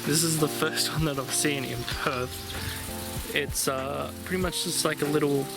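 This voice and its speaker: Young Australian guy, fairly high voice, rambling travel vlog, loud music behind. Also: unhurried, creaky. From Tasmania, Australia. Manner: chatty, filler-heavy, easily excited. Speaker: travel vlogger. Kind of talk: casual vlog narration. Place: outdoors, windy riverside in a small town.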